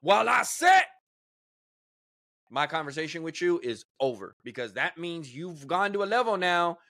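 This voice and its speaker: A man's voice: fairly high pitch, very animated, moderate pace, sharp tone, no room sound, studio quality. A man hosting a casual podcast chat, emphatic and given to repeating words as he starts a point. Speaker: podcast host.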